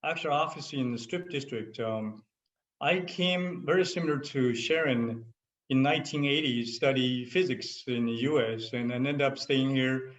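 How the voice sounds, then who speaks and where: A man's voice: medium pitch, normal speed. A man, introducing himself plainly on a panel. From China. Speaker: panelist, fund founder. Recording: roomy, dull call audio.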